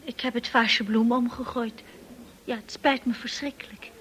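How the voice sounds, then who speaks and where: Actress as naive young girl, fairly high voice, shy, hesitant, apologetic, polite, radio drama. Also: steady tone, moderate pace, fairly bright tone, a little hiss or hum, close to an everyday voice. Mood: flustered, apologetic.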